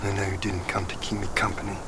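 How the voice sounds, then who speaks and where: An actor playing a weary warrior who speaks in a brooding, often half-whispered way, in a game cutscene. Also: male voice, low-pitched, level tone, fast, very noisy, natural voice. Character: weary warrior who hunts the monkey king. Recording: loud ambience behind.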